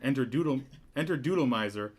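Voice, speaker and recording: A man's voice: medium pitch, lively intonation, a little fast. A man narrating stage directions from a comedy script at a table read.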